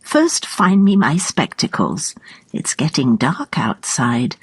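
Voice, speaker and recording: A woman playing a seemingly kindly old lady at a spinning wheel in a children's fairy tale, coaxing and husky. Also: low-pitched, big pitch swings, slow, no echo, heavily acted. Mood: fussing, instructing.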